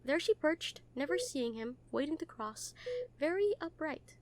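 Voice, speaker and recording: High-pitched, caring nurse played by a woman. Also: lively intonation, a little slow, darkish tone, a little hiss or hum. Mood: calm reading. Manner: hesitant, caring, reassuring, conversational. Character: kind, earnest, gently teasing hospital nurse comforting a patient. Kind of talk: roleplay audio acting, soft comfort talk.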